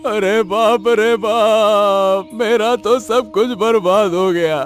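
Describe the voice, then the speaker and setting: A man's voice: high voice, fairly even intonation, takes it slowly. A man voicing an emotional elder brother, a village farmer, in a dramatic, emphatic cartoon story style. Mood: wailing, despairing.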